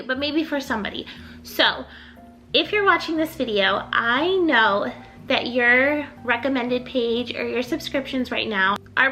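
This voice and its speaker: Young woman decor vlogger, high bright voice, talkative and rambling, slightly off from a recent illness, soft music behind. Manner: chatty, rambling, upbeat, lots of "like". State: recovering from illness, voice a little off. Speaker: home decor YouTuber. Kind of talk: casual chatty vlog.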